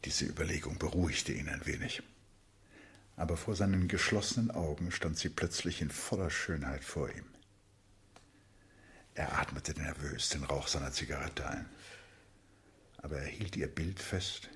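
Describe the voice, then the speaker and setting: A man giving measured, scripted literary narration for a radio play. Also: rumbling low, fairly bright tone, breathy edge.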